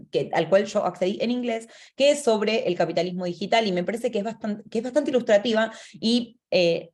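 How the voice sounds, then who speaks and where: An Argentine woman lecturing in a webinar over a video call, her voice set at a middling pitch. She explains point by point, pausing on fillers and correcting herself as she goes. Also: darkish tone. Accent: Argentine Spanish. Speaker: webinar speaker.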